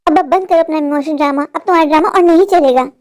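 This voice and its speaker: A voice actor playing a selfish young man in a cartoon who flatters some people and brushes others off. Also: very high voice, quick pace. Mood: dismissive. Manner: flattering, dismissive. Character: selfish young man, smooth-talking suitor.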